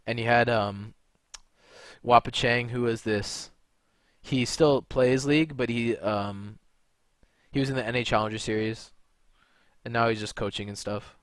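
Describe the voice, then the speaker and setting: A man's voice: mid-range voice, fairly even intonation, measured pace, pauses often, dry recording. A young man from California talking in a rambling, blunt monologue, full of fillers and restarts. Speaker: video creator and gamer. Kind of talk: unscripted monologue to camera.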